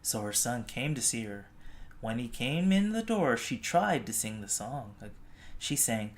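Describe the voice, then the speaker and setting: A storybook reader telling a children's tale expressively, with gentle, drawn-out phrasing. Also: medium pitch, very animated.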